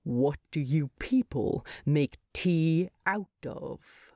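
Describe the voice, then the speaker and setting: A fierce storybook dwarf king, acted by a woman, curt and imperious. He speaks slowly in a gruff, breathy rumble, recorded dry and close. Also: warm tone, no echo, heavily acted. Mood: gruff, suspicious.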